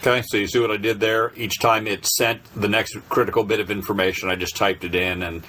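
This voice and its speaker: Low voiced guy talking through a software tutorial, casual and a bit halting, some background noise. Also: little pitch movement. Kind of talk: casual unscripted tutorial narration.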